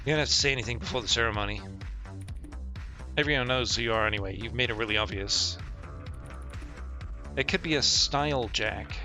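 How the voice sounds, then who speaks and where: Bright-voiced sweary man streaming a game. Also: mid-range voice, brisk, long pauses, faint music behind. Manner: sweary, sardonic. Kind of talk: casual playthrough commentary with read-aloud game text.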